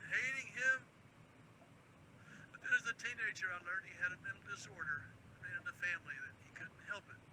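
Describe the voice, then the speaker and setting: A bright, weary radio producer. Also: male voice, high-pitched, slight background noise, full character voice, rough recording. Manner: frank and confiding.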